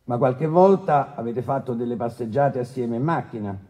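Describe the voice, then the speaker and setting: A man acting a brusque, paternalistic presiding judge who questions haltingly and interrupts impatiently in a courtroom radio drama. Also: medium pitch, dark tone, lightly acted. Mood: probing. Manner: interrupting, hesitant filler-laden questioning, impatient.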